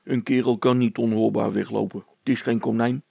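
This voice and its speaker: A man's voice: mid-range voice, fairly even intonation, a little fast, creaky, mellow, husky, no room sound. A man voicing a simple, nervous, agreeable village policeman in a storybook, with a hesitant, plaintive, colloquial way of talking.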